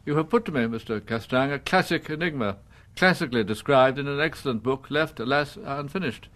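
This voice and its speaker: An older man with an English accent, acting a proud, icy judge in a radio drama, with formal, measured, pompous diction. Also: medium pitch, a bit bright, lightly acted. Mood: coolly judicial.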